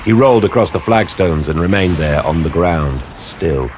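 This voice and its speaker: A man performing dramatic scripted narration of a science fiction war story, grave, theatrical and deliberate in his delivery. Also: deep voice. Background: dramatic music with battle sound effects.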